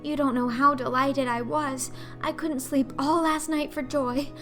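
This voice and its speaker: A woman's voice: high voice, fairly even intonation, a little slow, a bit bright, faint music behind. A woman voicing a talkative, imaginative eleven-year-old orphan girl in an audiobook, theatrical and earnest in her pleading. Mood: reproachful. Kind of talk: audiobook character acting.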